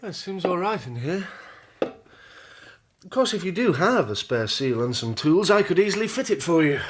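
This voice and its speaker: An actor playing an earnest, inquisitive young engineer in a radio drama, with a British accent and a polite, well-spoken manner. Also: male voice, high voice, big pitch swings, normal speed, background noise. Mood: curious, guarded. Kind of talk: radio drama acting and first-person narration.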